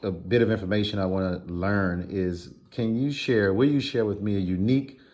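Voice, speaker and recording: A man with a low voice conducts an interview for a case study. He asks his questions haltingly, restarting his sentences and searching for words as he goes. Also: darkish tone. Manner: hesitant, many fillers and restarts. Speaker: interviewer, student.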